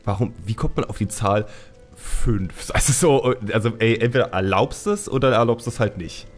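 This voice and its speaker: A man's voice: mid-range voice, moderate pace, soft background music. A man hosting a casual podcast, talking in a colloquial, exclamatory way. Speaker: podcast host.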